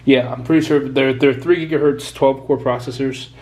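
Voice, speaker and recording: A man with a stuffy, congested cold, talking casually through a hands-on computer build in a relaxed, chatty way, with frequent ums and self-corrections. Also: mid-range voice, moderate pace. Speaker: YouTube tech vlogger. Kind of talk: casual hands-on tech vlog narration.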